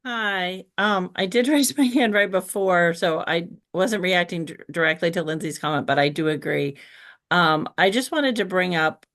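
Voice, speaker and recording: A woman's voice: on the low side, normal speed, fairly bright tone. An American woman speaking tentatively and conversationally as she raises questions in a board meeting. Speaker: school board member raising a question.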